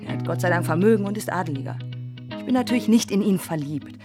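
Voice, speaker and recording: An actress playing a practical, proud young bride-to-be in a radio drama, chatty and matter-of-fact. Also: female voice, fairly low pitch, lively intonation, fast talker, over music, close to an everyday voice. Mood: indignant, defensive. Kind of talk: German radio drama acting.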